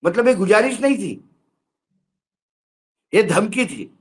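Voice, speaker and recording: An emphatic male commentator with a high-pitched voice speaks on a news show. He sounds indignant and argues his opinions through pointed rhetorical questions. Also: lively intonation, slow pace.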